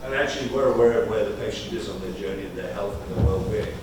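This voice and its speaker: A man wraps up a conference talk in a relaxed, conversational way. He sounds distant from the microphone in a very echoey hall. Clear background noise runs under his voice. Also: lowish voice, fast talker. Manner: conversational, uses everyday analogies. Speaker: conference speaker.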